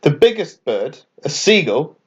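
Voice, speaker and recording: A man's voice: fairly high pitch, big pitch swings, measured pace, slightly rough. A man narrating a scripted children's bedtime story as a storyteller. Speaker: author and storyteller.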